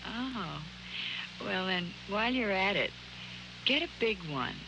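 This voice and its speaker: A woman's voice: medium pitch, expressive, slow pace. A woman acting a sultry, flirtatious, evasive widow in a languid, coy manner, in 1940s radio drama style. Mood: coy, guarded. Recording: noisy, humming 1940s radio recording.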